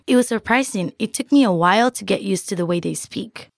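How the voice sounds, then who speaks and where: A woman from Peru, a native Spanish speaker, co-hosting a scripted language lesson in a friendly, measured way, recorded close on a studio mic. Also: medium pitch, vocal fry, dry recording.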